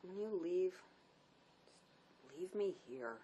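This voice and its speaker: Actress as a despairing woman with swooping pitch. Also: animated, takes it slowly, warm tone, breathy edge, muffled recording, exaggerated voice. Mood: withdrawn, bleak. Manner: emotional, pleading then defiant. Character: despairing, wounded young woman drifter, bitter and needy. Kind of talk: dramatic script performance. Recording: faint background noise.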